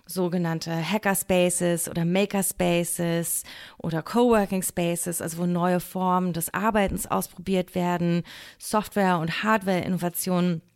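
Measured low-voiced woman guest. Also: fairly even intonation. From Germany. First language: bilingual English-German. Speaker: podcast guest, political scientist. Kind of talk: explanatory interview talk.

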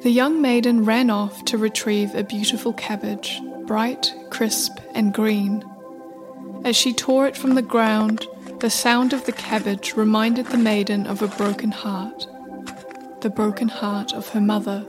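A woman's voice: medium pitch, steady tone, a little slow. A woman narrating a scripted folktale as the storyteller of a radio play. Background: music.

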